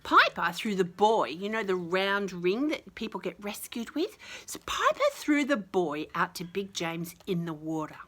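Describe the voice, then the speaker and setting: A woman storyteller talks to small children as she shares a picture book. Her voice is bright and very lively in pitch. She gushes with enthusiasm and leans on words with exaggerated emphasis. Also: unhurried, few pauses. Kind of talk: picture book reading with chatty commentary for young children.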